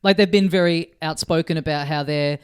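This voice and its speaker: Clear, higher-voiced man in podcast chat. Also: steady tone, fairly quick. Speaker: video game podcast host. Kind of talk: casual chat.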